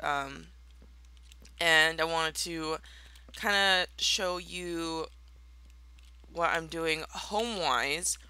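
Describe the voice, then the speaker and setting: A young woman with a very bright, sharp-edged voice, chatting through her block-building game with playful, mock-villainous theatrics. She sounds a touch raspy, like she has just rolled out of bed. The sound is close and dry, with no room around it. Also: low-pitched, dry recording. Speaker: Minecraft let's player. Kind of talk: casual gameplay commentary.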